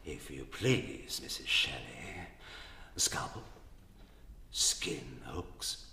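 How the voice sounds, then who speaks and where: Sinister mad scientist, acted by a man, low-pitched, courteous, swooping intonation, horror radio play, echoing hall. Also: lively intonation, vocal fry, bright, forceful tone, exaggerated voice. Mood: cold menace. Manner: courteous, measured menace. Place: live in an echoing anatomy theatre. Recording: echoing hall with background noise.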